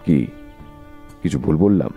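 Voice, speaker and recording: Very deep, animated, dark-toned, theatrical detective, played by an actor, rhetorical questions. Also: male voice, unhurried, creaky, narrow, tinny audio, faint music behind, heavily acted. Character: sharp, theatrical male detective unmasking a killer. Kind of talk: Bengali audio drama acting.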